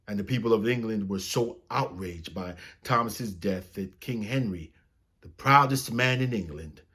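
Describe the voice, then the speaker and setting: A man reading a children's story aloud as a storyteller, with expressive, dramatic phrasing. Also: on the low side, unhurried, slightly rough.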